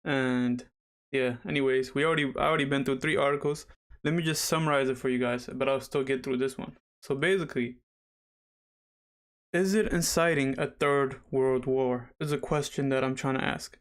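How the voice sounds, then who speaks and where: A young man hosting a casual livestream, slightly raspy after dental surgery, chatty and rambling as he talks straight to viewers. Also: mid-range voice, steady tone, moderate pace. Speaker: livestream host.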